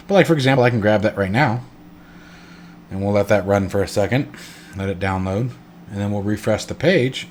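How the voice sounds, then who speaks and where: A man's voice: on the low side, slight background noise. A congested man hosting a casual flight sim tutorial, rambling and correcting himself with lots of fillers. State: congested, stuffed up. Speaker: flight sim channel host. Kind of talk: casual screen-recorded tutorial.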